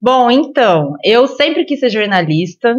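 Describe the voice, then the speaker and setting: Chatty higher-voiced Brazilian woman, podcast guest. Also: sing-song, unhurried, muffled recording. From Ribeirão, São Paulo state, Brazil. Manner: talkative, informal. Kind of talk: casual interview chat.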